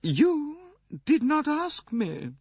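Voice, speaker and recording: An imperious old princess, her voice pitched very high and drawn out slowly. She is dry, cold and contemptuous, a storybook aristocrat who yields to no one, heard on a dull, dry audiobook recording. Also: lively intonation, fairly bright tone, no echo, exaggerated voice. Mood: dry, weary. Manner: dry, haughty, slow deliberate delivery.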